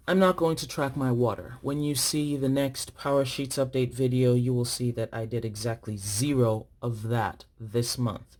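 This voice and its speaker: A woman's voice: rumbling low, a little mellow. A woman from Nigeria chatting casually to her viewers while filling in her planner, thinking aloud and correcting herself as she writes. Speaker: planner vlogger.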